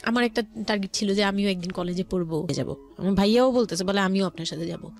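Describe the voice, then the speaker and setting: A woman's voice: medium pitch, quick pace, faint music behind. A woman with a Bangladeshi accent telling her personal story conversationally as a guest, often voicing what relatives said. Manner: quotes relatives' words as she narrates.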